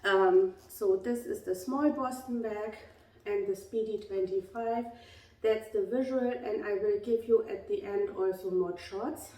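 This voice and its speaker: Husky lady vlogger, slow and chatty, lots of ums, room echo and far from the mic. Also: mid-range voice, warm tone. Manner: chatty, hesitant with many ums. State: husky from a lingering illness. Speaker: beauty vlogger. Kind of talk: casual bag comparison vlog. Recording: roomy sound from a distance.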